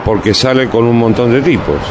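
A man's voice: medium pitch, brisk, background noise, close to an everyday voice. A man playing a bluntly judgmental, dismissive adult in a short radio skit.

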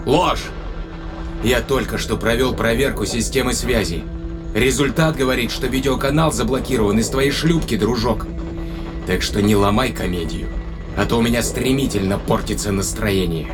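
A tense male astronaut played by an actor in a sci-fi audio drama. He speaks at a middling pitch, terse and distrustful, as if interrogating an enemy, with music clearly behind him. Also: normal speed, natural voice. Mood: angry, threatening. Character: tense, distrustful spaceship astronaut confronting an alien.

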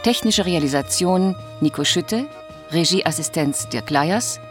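A woman announcer reading radio play credits in a scripted, measured style. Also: on the low side, expressive, soft background music. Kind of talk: scripted narration.

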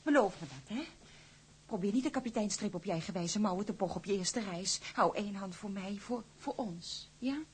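An actress playing a young sailor's wife who is worried and sharp-tongued, in a radio play. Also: female voice, mid-range voice, animated, a little fast, breathy edge. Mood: pleading. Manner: pleading and scolding, emotional.

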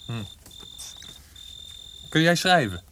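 An actor playing a gruff, petty police subordinate in a radio drama, speaking in a curt, suspicious manner. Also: male voice, fairly high pitch, sing-song, slow pace, sharp tone, slight background noise, heavily acted. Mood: bored, official. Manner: curt, accusatory.

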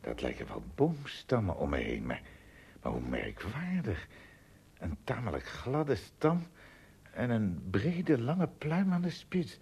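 An actor playing an astonished, easily frightened male traveller, tiny among giants, in a Dutch radio play, talking to himself with exclamations and hesitant repeats, his voice husky and gravelly. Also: medium pitch, wildly swooping pitch, slow, a little mellow, full character voice. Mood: wondering.

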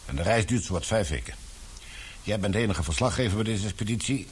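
A middle-aged, fatherly employer played by a male actor in a Dutch radio play. His voice is very low, with measured authority and a businesslike turn. The recording carries a faint hiss. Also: close to an everyday voice. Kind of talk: Dutch radio drama acting.

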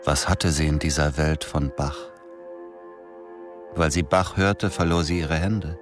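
An actor playing a brooding, protective American ex-soldier who narrates a German radio drama. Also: male voice, extremely low pitch, steady tone, unhurried, music in the background. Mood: remorseful. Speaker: actor and first-person narrator.

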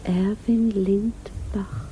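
An actress playing a reserved, wistful small-town aunt in a 1960s German radio play, restrained in manner. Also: female voice, mid-range voice, fairly even intonation, very slow, dark tone, rough recording. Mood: wistful, musing.

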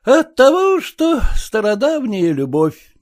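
A stubborn, obsequious petty clerk who whines and bargains in a high, wavering voice, as a male reader puts him on. He pleads and fawns in turns. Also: wildly swooping pitch, slow pace, full character voice. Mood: wheedling haggling. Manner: haggling, whining, obsequious.

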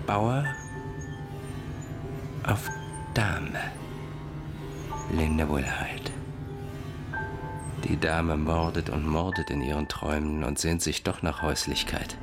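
A cold, scheming doctor from a radio crime play. His voice is very low and creaky, the delivery slow and murmured, sinking into whispers with obsessive menace. Music plays behind him. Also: full character voice. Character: sinister, coldly scheming male doctor and killer. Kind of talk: German radio crime drama acting.

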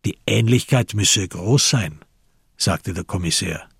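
A man narrating a radio crime drama in a measured, sober, literary manner, with a husky voice. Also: sits low, no echo, studio quality, full character voice.

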